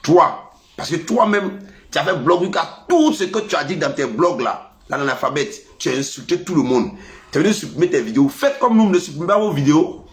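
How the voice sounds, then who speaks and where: A man with an Ivorian French accent and a cold, talking casually to his followers in a lively, scolding way. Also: brisk. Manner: lively, scolding, animated pitch jumps. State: has a cold. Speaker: vlogger. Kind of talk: casual monologue to camera.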